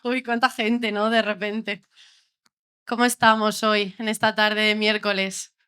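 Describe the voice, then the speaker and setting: A woman's voice: medium pitch, level tone, a little slow, bright, forceful tone, smooth. A young woman from Ciudad Real with a La Mancha Spanish accent, giving a jokey, informal science talk to a live bar audience and often checking in with "¿vale?". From Spain, Ciudad Real. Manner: chatty, jokey, keeps asking "¿vale?". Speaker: doctoral researcher giving a public talk.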